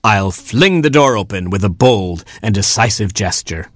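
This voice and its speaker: A man's voice: fairly low pitch, expressive, moderate pace, a bit bright, dry recording. A man voicing a proud, grouchy father rooster in a storybook, with pompous delivery. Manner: pompous, declamatory. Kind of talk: storybook character voice.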